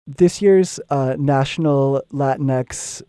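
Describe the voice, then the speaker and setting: A man reading prepared interview questions in a plain, measured way. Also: mid-range voice, warm tone, smooth, dry recording, clean studio sound. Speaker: interviewer, outreach specialist.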